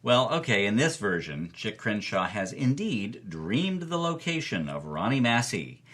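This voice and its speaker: Animated, low-voiced man telling a story. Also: unhurried. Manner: emphatic, wry, punchy repetition. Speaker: podcast host, film club organizer. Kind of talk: lively storytelling narration.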